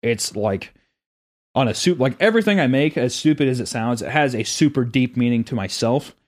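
A man co-hosting a casual podcast, talking earnestly and rambling with many restarts, with a gruff-sounding voice. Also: mid-range voice, lively intonation, moderate pace. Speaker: podcast co-host, animator.

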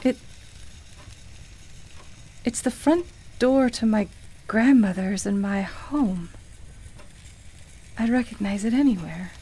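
An actress playing a grieving, skeptical woman in a radio play, emotional and halting, easily shaken as she speaks. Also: medium pitch, mellow, slightly rough. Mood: puzzled, skeptical. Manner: halting, stammering, dramatic. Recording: music behind the voice.

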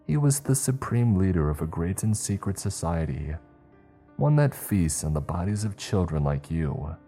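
A man's voice: sits low, big pitch swings, normal speed, warm tone, soft background music. A man voicing a boastful, theatrical cult witch who shrieks and rambles. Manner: shrieking, chatty villain.

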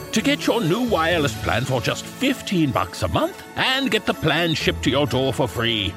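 A jolly Santa Claus sells a holiday deal in a theatrical podcast ad. His voice is high and swings up and down dramatically over a clear music bed. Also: exaggerated voice. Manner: theatrical, salesy. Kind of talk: scripted podcast advertisement.

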